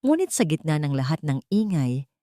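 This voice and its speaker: A woman narrating a dramatic audio story in a steady, dramatic storytelling manner. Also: sits low, moderate pace, no echo, studio quality.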